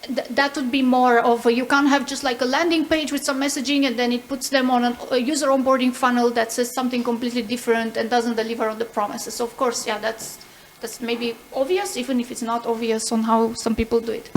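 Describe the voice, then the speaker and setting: A woman with a notably high voice speaks as a panelist at a conference. She explains her points step by step and often tacks on short questions to check that listeners follow. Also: level tone, brisk, a little hiss or hum. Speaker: panelist, product executive.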